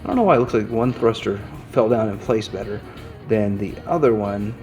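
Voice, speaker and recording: A man's voice: on the low side, brisk, a little mellow, rough recording. A man presenting an unscripted product review, rambling in an easygoing way as he thinks aloud while handling items, with music behind him.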